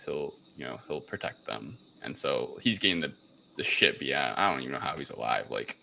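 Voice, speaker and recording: A man with a deep, bright-edged voice chats casually through a film recap. He rambles and feels his way along haltingly, swearing freely as he goes. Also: lively intonation, creaky. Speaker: podcast co-host.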